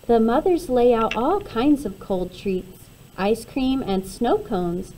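A woman reading a rhyming children's picture book aloud in an expressive, measured way. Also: fairly high pitch, slight background noise, poor audio. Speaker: storybook reader.

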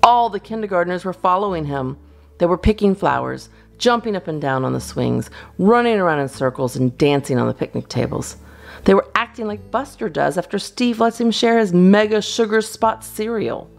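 A woman's voice: fairly low pitch, lively intonation, moderate pace, gravelly. A woman reading a children's storybook aloud expressively, as a storytime reader. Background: guitar music.

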